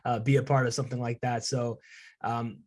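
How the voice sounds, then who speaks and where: Young man, agreeable, mid-pitched voice. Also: little pitch movement, a little fast, darkish tone. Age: young adult. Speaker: student podcast interviewer. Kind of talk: casual interview chat.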